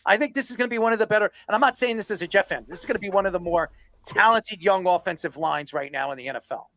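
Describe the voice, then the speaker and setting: A man's voice: sits high, rapid-fire, bright, forceful tone. A man hosting a sports talk show, debating emphatically and often repeating himself for emphasis.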